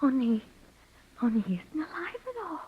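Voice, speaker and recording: Very high-pitched hysterical woman. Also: big pitch swings, a little hiss or hum. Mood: eerie, dazed. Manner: breathless, halting, repeating herself. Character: hysterical, unhinged woman confessing to a murder. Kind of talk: 1940s radio mystery acting. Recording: dull, lo-fi vintage radio recording.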